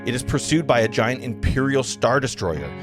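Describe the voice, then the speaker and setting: Male narrator for a table read, mid-pitched, stumbling a little through screen directions, music playing behind. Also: animated. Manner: halting, stumbling over the script. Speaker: narrator reading stage directions. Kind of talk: live table read of a film script.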